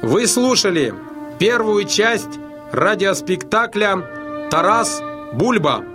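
A man announcing a radio drama in a formal, measured style, reading scripted credits. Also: sits high, big pitch swings. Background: music behind the voice.

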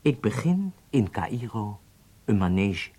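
An actor playing a strict, confident riding instructor in a radio drama, commanding and insistent. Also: male voice, on the low side, very animated, slow pace, warm tone, slight background noise, exaggerated voice. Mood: calculating, measured. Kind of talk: Dutch radio drama acting.

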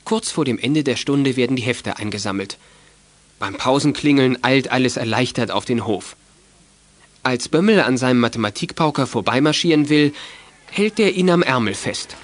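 A man plays the composed narrator of a children's audio drama. His voice sits at a middle pitch. The delivery is scripted storytelling. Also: animated, moderate pace, no room sound, natural voice. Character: composed male storyteller of a youth detective tale.

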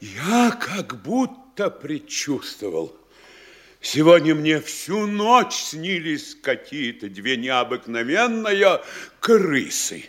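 An actor playing an anxious, self-important provincial town mayor in a studio radio play, talking in a measured, weighty, fretful way. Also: male voice, fairly high pitch, big pitch swings, a bit bright, heavily acted. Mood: uneasy. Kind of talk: classic studio radio drama acting.

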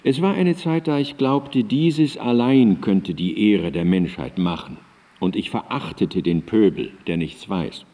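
Low, mellow philosopher, reverberant. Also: male voice, expressive, a little slow. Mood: earnest, confessional. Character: aging male Enlightenment philosopher, earnest, reflective, exacting. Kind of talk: radio drama monologue of historical writings. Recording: reverberant hall sound.

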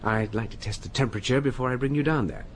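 An actor playing a breezy, sardonic gentleman with an upper-class English accent in a radio drama. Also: mid-range voice, quick pace, a little hiss or hum, natural voice. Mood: guarded.

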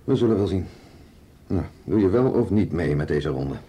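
A man's voice: sits low, lively intonation, normal speed, mellow, a little hiss or hum. An actor playing a persistent, sceptical middle-aged senior policeman in a radio drama, probing, dry and authoritative. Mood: probing, firm.

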